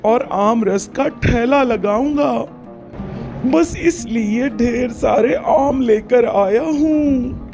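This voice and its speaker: Actor doing a polite hardworking young stall guy, slow and even, light music under. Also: very high voice, heavily acted. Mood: cheerful. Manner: eager, polite and respectful. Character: young male mango juice seller, hardworking and polite. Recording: cartoon voice-over with faint music.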